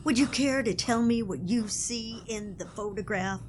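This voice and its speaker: High, melodramatic woman playing an interrogator. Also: noisy background. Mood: mock-stern. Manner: theatrical, accusatory, drawn-out. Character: pompous, melodramatic interrogator grilling a picnicker. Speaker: comedy podcast sketch performer. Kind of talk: theatrical sketch acting.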